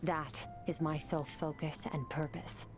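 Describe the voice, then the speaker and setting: Skirk, a cool terse swordmaster. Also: female voice, low-pitched, unhurried, in a whisper, over music. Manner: calm, terse, detached. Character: cool, composed female swordmaster from another world.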